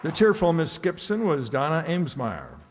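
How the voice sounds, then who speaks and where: A man with a slightly high voice reads out cast credits as an announcer. He is in a reverberant hall, with an audience clearly audible and plenty of noise. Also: huge pitch swings, moderate pace, a faint crowd. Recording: noisy live hall with audience.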